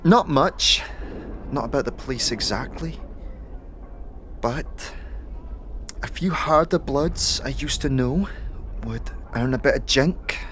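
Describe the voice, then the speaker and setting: A man voicing a wary, sharp-tongued young woman thief with halting, slangy street talk in a fantasy audio drama. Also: mid-range voice, slight background noise.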